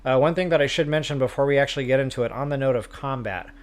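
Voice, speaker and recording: A man's voice: medium pitch, rapid-fire. A man hosting a game livestream, chatting casually with viewers in a self-deprecating way. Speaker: live stream host, gamer.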